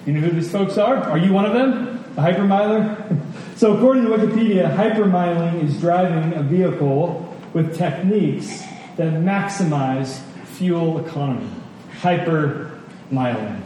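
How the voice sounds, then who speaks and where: High-voiced man preaching in an echoey hall. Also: moderate pace, warm tone. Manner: rhetorical questions, repeated phrases for emphasis. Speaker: pastor preaching. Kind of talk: sermon to a congregation. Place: large room at a church gathering. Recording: echoey hall, distant mic, faint noise.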